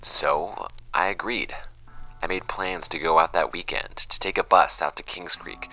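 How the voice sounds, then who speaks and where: A man's voice: low voice, fairly even intonation, pushed and bright, soft background music, low-quality recording. A man acting a troubled young man in an audio drama, giving a hesitant, introspective confessional monologue. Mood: wistful, subdued. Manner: hesitant, introspective, halting phrasing. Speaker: audio drama actor in the lead role.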